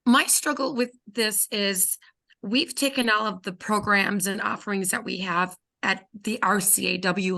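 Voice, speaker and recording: A woman with a hoarse, squeaky voice, speaking earnestly in a video call discussion. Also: sharp tone.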